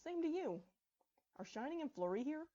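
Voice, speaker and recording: A woman's voice: sits high, sing-song, normal speed, warm tone, voice pushed up. A fond, talkative pony princess and young mother, played by a woman reading aloud. She sounds conversational in a storybook tale, on a slightly dull recording. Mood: fond, chatty. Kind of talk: acted dialogue in fan fiction storybook reading.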